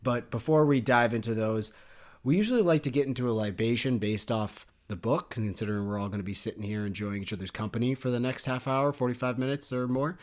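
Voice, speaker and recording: A man's voice: mid-range voice, quick pace. A young man co-hosting a book podcast, chatting casually and jokily, laughing often. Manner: jokey and animated, laughs often. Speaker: podcast co-host.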